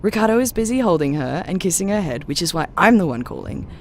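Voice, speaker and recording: Woman playing a lively, cheerful voicemail caller. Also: lowish voice, quick pace, close to an everyday voice. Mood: excited, playful. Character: cheerful dog-loving friend leaving a voicemail. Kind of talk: audio drama voicemail. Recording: clear background ambient noise.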